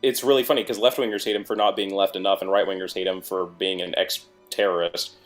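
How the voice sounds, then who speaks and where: A man's voice: low-pitched, level tone, quick pace, faint music behind. A man chatting casually and bluntly about his opinions as a guest on an online group call, sometimes swearing. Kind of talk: casual chat, political opinions.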